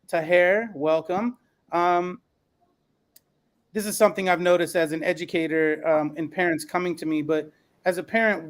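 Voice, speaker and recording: A man moderating an educational podcast, speaking in an encouraging, affirming way as he puts questions to guests. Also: on the high side.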